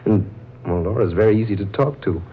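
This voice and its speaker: An actor playing a puzzled, earnest newcomer, speaking in a halting 1940s radio drama style. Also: male voice, fairly low pitch, slightly rough. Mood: musing, puzzled. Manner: halting, musing. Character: puzzled, earnest male newcomer, drawn to a woman. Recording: muffled, noisy old radio recording.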